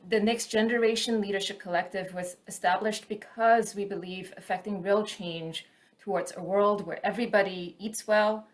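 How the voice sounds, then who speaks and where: A woman professor from Singapore, heard at an online launch reading out her section in a medium-pitched voice. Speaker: associate professor, task team member. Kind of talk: prepared presentation at an online event.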